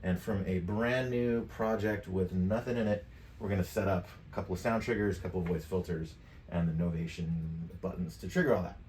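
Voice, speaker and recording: A middle-aged man giving a casual step-by-step software tutorial, thinking aloud as he works. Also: deep voice, a little fast. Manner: informal, thinking aloud while clicking. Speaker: tutorial presenter. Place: indoors, home studio room at a computer desk. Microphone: USB microphone at some distance from the mouth. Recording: echoey room with clear background noise.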